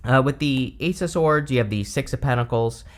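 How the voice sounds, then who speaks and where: A man giving a relaxed, rambling tarot reading with lots of "you know" and "uh", his voice a bit hoarse from allergies. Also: medium pitch, moderate pace. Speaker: tarot reader. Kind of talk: casual tarot reading to camera.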